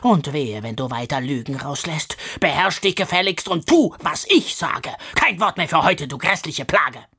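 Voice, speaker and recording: A woman's voice: sits low, big pitch swings, normal speed, few pauses, sharp tone, slightly rough, full character voice. A woman voicing a hateful old female guardian who screeches and spits with rage. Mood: furious.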